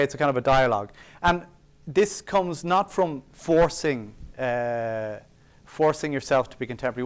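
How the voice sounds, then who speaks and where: Male sculptor speaking at a lecture, mid-pitched and resonant, reflective and sincere, dry humor about himself. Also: clear, resonant tone. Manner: earnest and reflective, with self-deprecating asides. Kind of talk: public lecture on sacred art. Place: college auditorium.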